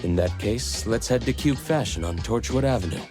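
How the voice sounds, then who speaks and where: A man playing a courteous, composed, helpful leader in an anime game, speaking in a polite, measured, formal way. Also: lowish voice, animated, over music, lightly acted. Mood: calm, helpful. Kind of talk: anime game dialogue acting.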